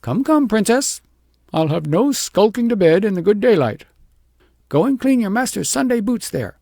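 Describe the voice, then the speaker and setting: Man putting on a shrill scolding shepherdess. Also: very animated, normal speed, gravelly, dark tone, no room sound, clean studio sound, heavily acted. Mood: sharp commanding. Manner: brusque, indignant, bossy. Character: scolding, sharp-tongued shepherdess wife, short-tempered and scornful. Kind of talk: storybook character voice in audiobook.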